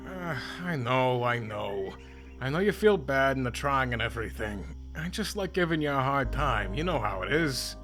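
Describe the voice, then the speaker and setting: A man's voice: medium pitch, animated, moderate pace, fairly bright tone, faint music behind, natural voice. A man playing a laid-back, wry skeleton with a casual, dry, needling way of talking in a fan comic dub. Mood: wry, guarded.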